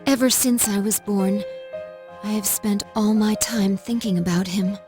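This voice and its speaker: A woman's voice: medium pitch, steady tone, unhurried, music in the background, lightly acted. A woman voicing a polite, earnest android girl with precise, formal speech in an anime game dub. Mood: calm, resolute.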